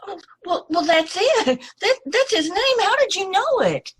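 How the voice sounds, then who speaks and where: A woman acting a timid, polite young woman in an old-time radio play, speaking hesitantly and apologetically in a breathy, husky voice. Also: very high-pitched, sing-song, measured pace, a bit bright, full character voice. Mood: astonished, hopeful. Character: timid, polite young woman seeking her lost brother.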